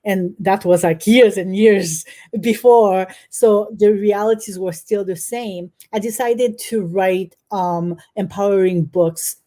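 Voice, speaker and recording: A woman from Haiti with a mellow, warm voice speaks as an author guest in an interview. She is middle-aged and answers in an earnest, reflective way, often pausing with ums and restarts. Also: medium pitch.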